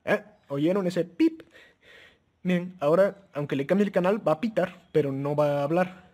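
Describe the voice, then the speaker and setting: A man's voice: high voice, normal speed, noisy background. A man casually demonstrating a handheld radio for viewers, hesitant, with frequent restarts and fillers, chuckling now and then as he talks to them as friends. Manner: chuckles often while talking. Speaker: radio gear reviewer. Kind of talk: casual product demo.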